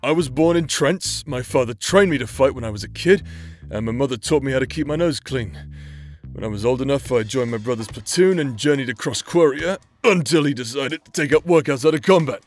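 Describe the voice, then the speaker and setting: A man's voice: mid-range voice, fairly quick, close to an everyday voice. A man playing a brash, teasing knight captain, a loyal younger brother, in an anime-style romance audio drama, talking in an informal, playfully sarcastic way. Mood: exasperated. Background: background music.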